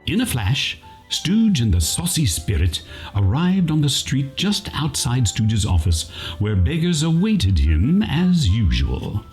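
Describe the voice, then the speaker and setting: A man's voice: medium pitch, huge pitch swings, slow, a little mellow, soft background music. A man playing a wry, mock-dramatic narrator, reading scripted narration for a parody radio play.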